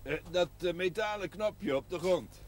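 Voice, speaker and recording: Distant, high-voiced, encouraging man. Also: moderate pace. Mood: eager, encouraging. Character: eager, patient man instructing a novice driver. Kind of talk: television drama acting. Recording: distant, noisy old TV location sound.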